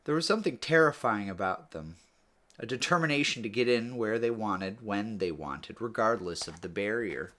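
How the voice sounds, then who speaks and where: A man narrating a story in a measured read-aloud style, letting his phrases fall away at the ends. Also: lowish voice, expressive, a bit bright. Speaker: author or reader narrating a book read-aloud.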